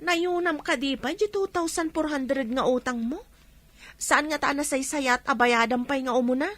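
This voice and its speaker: A woman playing a patient, soft-hearted village peddler in an Ilocano comedy radio drama, speaking with an Ilocano accent. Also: high-pitched, normal speed, no room sound. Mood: pleading, firm.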